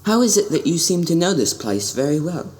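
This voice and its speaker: A man's voice: fairly high pitch, big pitch swings, a little fast, darkish tone. A man playing an earnest, curious young prince in an amateur radio drama, with a formal and stiffly polite way of speaking. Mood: puzzled.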